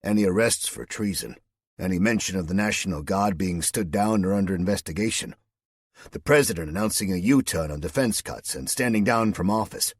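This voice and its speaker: A man voicing a stern, tense colonel who speaks gruffly and tersely. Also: sits low, a little fast, dry recording, studio quality. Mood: brooding. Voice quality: gruff, clipped. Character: stern tense middle-aged male colonel.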